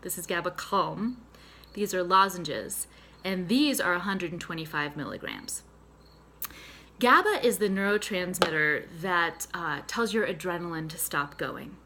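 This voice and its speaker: Woman, Boston accent, lowish voice, friendly step-by-step explainer, faint room hiss. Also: lively intonation, a little slow, bright, forceful tone. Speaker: psychotherapist and mental health nutritionist. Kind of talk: casual educational video talk.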